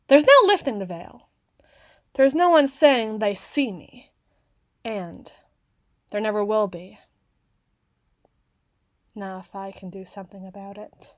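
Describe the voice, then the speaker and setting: A woman playing an awkward, bookish tsundere young woman in an ASMR roleplay, speaking haltingly and wordily to the listener. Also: mid-range voice, no room sound, studio quality, natural voice. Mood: solemn, introspective, then defensive, awkward. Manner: stammering, halting, wordy and bookish in phrasing.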